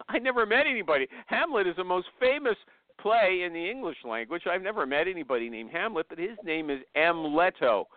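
A man hosting an informal live interview, blunt and quick to interrupt and steer his guest, heard over a narrow phone connection. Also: high-pitched, expressive, no room sound. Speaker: host interviewing a guest on a live online show. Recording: narrow, thin phone-line sound.

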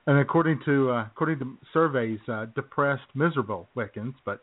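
A man with a mid-pitched voice co-hosts a radio show through thin, phone-like audio. His banter is casual and jokey, and he rambles along with small hesitations. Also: fairly quick.

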